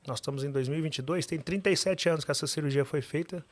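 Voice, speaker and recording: A man, a podcast guest telling his life story with animated, emphatic repetition. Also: fairly high pitch, fast talker. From Minas Gerais, Brazil.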